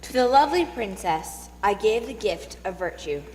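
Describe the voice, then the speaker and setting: Kindly stage fairy godmother type, female reader, measured and calm, mid-range, faintly noisy ringing hall. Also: mid-range voice, close to an everyday voice. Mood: solemn reassurance. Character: kindly, calm wise woman bestowing magic gifts. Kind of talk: readers theatre stage reading. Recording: reverberant hall with faint noise.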